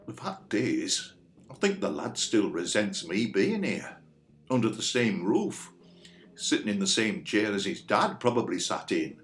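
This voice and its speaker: Well-meaning mechanic boyfriend, tentative, reassuring, very varied intonation, roomy audio drama. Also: male voice, lowish voice, very animated, measured pace. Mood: earnest, unsure. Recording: roomy echo, faint background noise.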